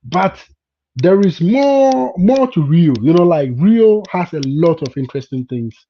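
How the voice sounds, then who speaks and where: Guy from ghana chatting live to viewers, kinda high voice, keeps going "you understand?". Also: lively intonation, a little slow. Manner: repetitive, stammers and repeats words often. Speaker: vlogger hosting a live chat. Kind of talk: casual live-stream chat with viewers. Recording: dull lo-fi sound.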